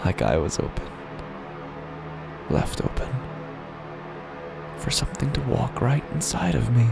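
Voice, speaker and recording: A man playing an unhinged narrator descending into madness in a horror audio drama, speaking slowly and haltingly, often hushed to a whisper over loud music. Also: medium pitch, sing-song, vocal fry, pitched above the performer's own voice, full character voice. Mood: manic, eerie revelation.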